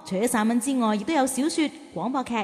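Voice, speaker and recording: A woman playing a caring, gently chiding big-sister teacher who hosts a children's radio drama. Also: on the high side, lively intonation, quick pace, slightly rough, dry recording, studio quality. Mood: explanatory. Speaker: host and teacher.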